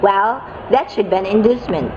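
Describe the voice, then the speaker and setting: A woman's voice: mid-range voice, a little fast, natural voice. A woman playing a restless, bookish young male college student in an amateur radio play, speaking plainly and earnestly. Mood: friendly, helpful. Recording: dull lo-fi audio, noisy background.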